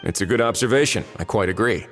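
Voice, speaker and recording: Man playing a methodical investigator, fairly deep, measured, polite, analytical, reverberant game dialogue. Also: faint music behind, natural voice. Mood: calm, analytical. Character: calm, methodical male investigator reasoning aloud. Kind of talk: video game voice acting.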